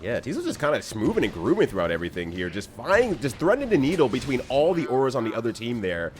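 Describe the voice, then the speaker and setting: A man giving lively, jokey game commentary with drawn-out exclamations and casual chat. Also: medium pitch. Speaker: play-by-play commentator and player.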